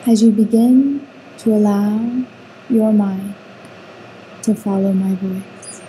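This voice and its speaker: A woman guiding a sleep meditation with soothing, unhurried instructions and long pauses between phrases. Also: medium pitch, fairly even intonation, warm tone, a little hiss or hum, rough recording. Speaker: meditation guide.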